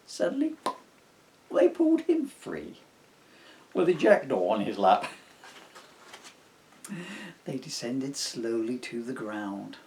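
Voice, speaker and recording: Casting: male storybook narrator, rhyming verse, very animated pitch, unhurried, slight background noise. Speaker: author reading aloud. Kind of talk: children's storybook narration in rhyme.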